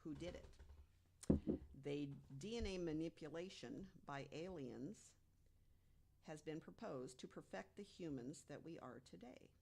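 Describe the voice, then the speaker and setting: A woman informally telling researched material as a podcast panelist, emphatic and deliberate, posing rhetorical questions. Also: deep voice, gravelly, darkish tone.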